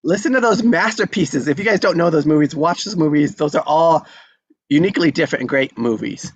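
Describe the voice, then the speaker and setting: A man's voice: a little high, fairly quick, husky, no room sound, dull audio. Upbeat man hosting over a video call. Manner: upbeat, rambling, encouraging. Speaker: host of online table read. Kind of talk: casual chat.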